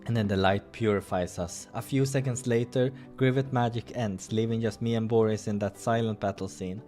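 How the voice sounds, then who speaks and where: A man's voice: on the low side, fairly even intonation, moderate pace, dark tone. A man hosting a playthrough, reading a visual novel aloud with casual asides and the odd stumble, over music.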